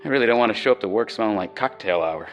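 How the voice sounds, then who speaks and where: A man's voice: fairly low pitch, expressive, very fast, dull audio, soft background music. A man playing a blunt young doctor and husband in a radio drama. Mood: sheepish, hurried.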